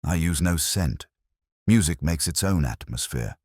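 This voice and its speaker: A man voicing a belligerent, pompous church organist in an audiobook mystery, sharp and disdainful in manner. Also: a bass voice, lively intonation, unhurried, no room sound, studio quality, exaggerated voice. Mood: snapping, defensive. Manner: sharp, snapping, disdainful, theatrical.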